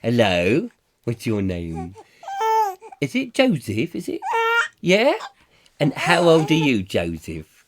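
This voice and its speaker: A man hosting a comic live chat show, playful and silly, reading out viewer comments in a croaky voice. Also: mid-range voice, wildly swooping pitch, slow pace, long pauses. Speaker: host.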